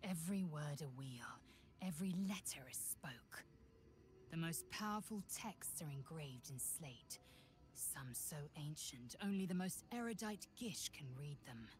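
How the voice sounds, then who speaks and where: A proud githyanki warrior, all clipped, disdainful gravity. She speaks low and very slowly, as if each word were a weight she would rather not carry. This is video game dialogue. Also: lively intonation, darkish tone. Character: stern female githyanki warrior, proud and harsh.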